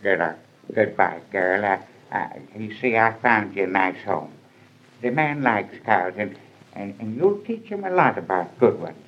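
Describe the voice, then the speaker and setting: An actor playing a gruff old farmer who hides a soft heart, in 1940s radio acting style. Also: male voice, fairly low pitch, animated, measured pace. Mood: tender hushed. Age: older. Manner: plain, drawling rural speech. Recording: dull 1940s radio recording.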